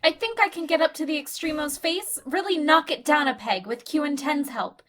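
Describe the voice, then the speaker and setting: A woman playing a bold, confident female android crewmate with a brisk, teasing manner in an audio drama. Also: sits high, a bit bright. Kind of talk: audio drama character acting.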